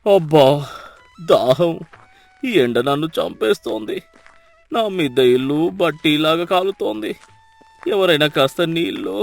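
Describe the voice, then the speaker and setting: A man's voice: a little high, lively intonation, a little slow, vocal fry, pauses often. A man voicing a rich, proud, irritable older man who complains and exclaims about his misery in a cartoon moral story. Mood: suffering. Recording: music behind the voice. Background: music.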